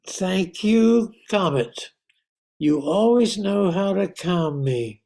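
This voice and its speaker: A woman playing a patient, courteous lead reindeer with a polite, measured manner in an amateur radio play. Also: lowish voice, expressive, warm tone, exaggerated voice. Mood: weary, polite.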